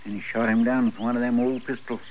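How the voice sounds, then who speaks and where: A folksy American deputy played by a man in an old radio western, mid-pitched and earnest. He is plain-spoken and easily astonished, heard over faint recording noise. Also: little pitch movement, quick pace, lo-fi sound, close to an everyday voice. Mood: impressed. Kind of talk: 1950s American radio drama acting.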